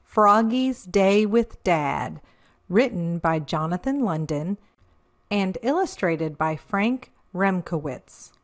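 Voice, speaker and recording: A woman hosting a children's read-aloud in a cheerful, expressive manner with sing-song emphasis. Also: lowish voice, takes it slowly, heavy reverb, recorded from a distance. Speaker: children's story host and reader.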